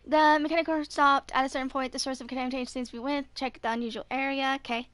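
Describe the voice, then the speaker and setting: A woman's voice: high-pitched, little pitch movement, fast, over music. A woman in her twenties casually commenting on her game and reading quest text aloud. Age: 20s. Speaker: gamer commenting on her play.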